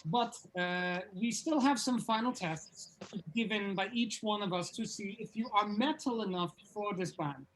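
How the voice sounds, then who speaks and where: A performer in a comedy table read playing a pompous, grandiose quizmaster of a heavy metal band, with deadpan formality. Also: mid-range voice, a little slow, lightly acted, low-quality recording. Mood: solemn.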